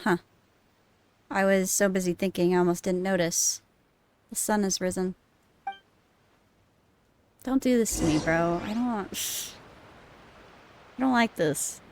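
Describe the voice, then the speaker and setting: A woman's voice: mid-range voice, takes it slowly, pauses often, slight background noise. A woman streamer commenting live as she plays a story game, with an ordinary mid-range voice. She reads the on-screen text aloud, breaks into laughter and swears at sad moments.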